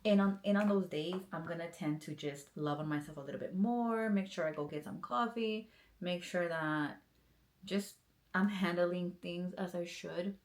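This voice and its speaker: Woman, thirties, slightly low pitch, casual vlog, earnest, encouraging, lots of fillers. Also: moderate pace. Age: 30s. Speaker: police officer vlogger.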